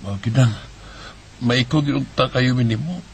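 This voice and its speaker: A man's voice: medium pitch, unhurried, gravelly, a little hiss or hum. A man with a Visayan Filipino accent playing a humble father of a homeless family in a radio drama, subdued and pleading. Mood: humbly grateful.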